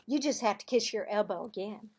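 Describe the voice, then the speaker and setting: A woman voicing a bossy, scornful schoolgirl in a storybook, with loud, emphatic, taunting delivery. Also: mid-range voice, a little fast, noisy background, natural voice. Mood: insistent.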